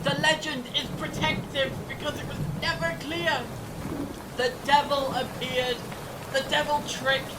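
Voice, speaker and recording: An actor playing a clever, grave time-travelling adventurer in a fan audio drama, explaining things in a measured, dramatic way. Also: little pitch movement. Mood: intent, reasoning. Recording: distant mic, rumbling storm-like noise.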